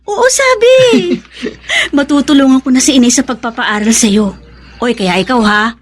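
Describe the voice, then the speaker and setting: An actress playing a cheerful, affectionate young older sister in a bubbly Filipino radio drama style. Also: female voice, high-pitched, normal speed. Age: young adult. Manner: bubbly, animated.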